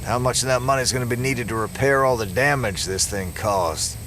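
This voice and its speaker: An actor playing a gruff, steady small-town American sheriff with a plain-spoken, folksy manner in an audio drama. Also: male voice, medium pitch, brisk, a little hiss or hum, natural voice. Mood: wry, grumbling. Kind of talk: full-cast audio drama acting. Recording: music bed under the dialogue.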